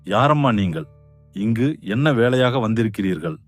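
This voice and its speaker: A man voicing a deep-voiced greedy cartoon king. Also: lively intonation, normal speed, faint music behind, lightly acted. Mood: stern, commanding. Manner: stern, commanding, boastful. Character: greedy scheming adult king, proud. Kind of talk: cartoon voice acting.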